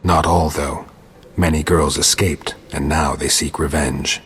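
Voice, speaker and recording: A man playing a stoic monster hunter in a video game, terse and deadpan, with a gravelly, husky voice. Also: extremely low pitch, measured pace, heavily acted. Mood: dry, accusing. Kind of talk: scripted video game dialogue. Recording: long cavernous reverb.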